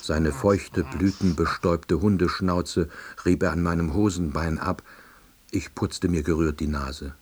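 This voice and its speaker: A man playing a subdued, baffled middle-aged East German salesman, narrating a radio play in a dry, husky voice. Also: deep voice, fairly even intonation, measured pace, a little mellow. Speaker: narrator and lead character.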